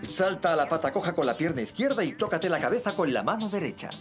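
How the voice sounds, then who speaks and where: A cheerful young dad from a children's cartoon, speaking with a Castilian accent. He is playful and reassuring, swinging widely in pitch over clear background music. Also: big pitch swings, fast. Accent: Castilian Spanish. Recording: music behind the voice.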